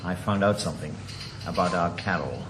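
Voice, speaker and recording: A man's voice: sits low, fairly quick, a bit bright. A man playing a retired ex-detective with a Welsh accent, speaking with a dry, wry sarcasm. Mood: grim. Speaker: lead actor. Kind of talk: 1980s radio drama acting. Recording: noisy location recording.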